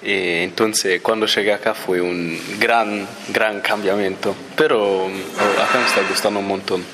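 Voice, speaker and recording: Italian-born exchange student, young male, halting delivery, slightly low pitch. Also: fairly even intonation, heavy background noise. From Italy. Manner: hesitant, searching for words with fillers. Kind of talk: interview answers.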